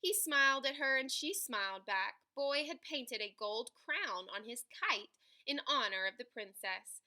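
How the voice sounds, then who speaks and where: A woman reading a children's picture book aloud in an animated, dramatic way, stretching key words for emphasis. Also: high voice, measured pace. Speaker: read-aloud storyteller.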